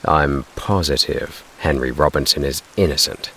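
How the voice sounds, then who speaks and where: A man reading aloud, voicing a steady teenage boy detective who speaks calmly and reassuringly. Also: a bass voice, moderate pace, slight background noise. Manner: reassuring, calm.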